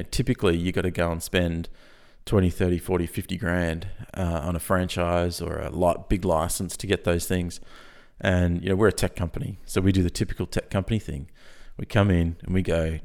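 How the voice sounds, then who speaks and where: An Australian man with a croaky early-morning voice, chatting informally and jokily as a podcast guest pitching his business. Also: darkish tone. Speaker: podcast guest, software company founder. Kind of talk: casual conversational pitch.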